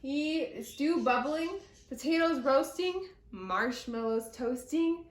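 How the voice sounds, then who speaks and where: A woman reading a picture book aloud to children with deliberate, dramatic, expressive phrasing. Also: sits high. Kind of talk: expressive children's story narration. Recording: roomy echo.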